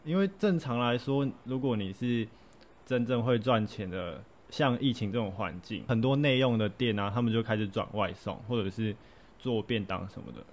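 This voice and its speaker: A young man, a recent graduate chatting on a podcast, soft-spoken with brief agreeing replies. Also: lowish voice, normal speed. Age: young adult. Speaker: co-host, recent university graduate. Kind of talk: casual chat.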